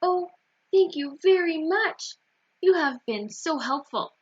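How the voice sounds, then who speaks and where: Woman voicing an eager high-pitched little boy. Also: lively intonation, slow pace, heavily acted. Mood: grateful. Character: earnest, forgetful young boy heading home. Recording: dull, roomy lo-fi recording.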